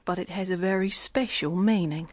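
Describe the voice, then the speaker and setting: A woman's voice: fairly low pitch, moderate pace, dry recording, lightly acted. An actress playing a secretive, teasing married woman in a radio drama. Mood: mysterious, teasing.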